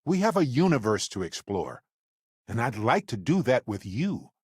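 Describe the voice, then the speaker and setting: An actor playing a wry, affectionate, talkative space explorer companion in a video game, with a playful, expressive, conversational delivery. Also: male voice, medium pitch, moderate pace, breathy edge, dry recording, clean studio sound.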